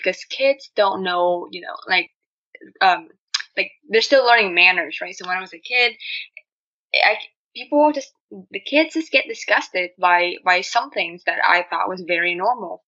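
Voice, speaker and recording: A woman's voice: medium pitch, pushed and bright, muffled recording. A young adult woman from Vietnam with a Vietnamese accent, chatting candidly as a guest and telling personal stories with plenty of filler phrases like "you know". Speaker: podcast guest, marketing student. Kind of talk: casual personal storytelling in an interview.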